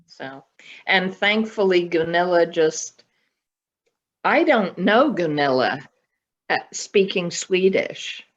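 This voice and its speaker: A lower-voiced woman guest on an online podcast, taking her time with each thought through muffled, lo-fi call audio. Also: animated, takes it slowly, long pauses. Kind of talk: casual conversational storytelling. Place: video call. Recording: dull, lo-fi video call audio.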